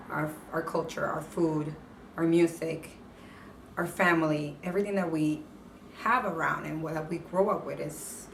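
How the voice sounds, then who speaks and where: Passionate interview guest, female, slightly low voice, heard from a distance with faint background noise. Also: vocal fry. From Puerto Rico. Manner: passionate, expressive. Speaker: interview guest, entertainment correspondent. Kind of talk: casual interview answers. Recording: echoey room, distant mic, faint noise.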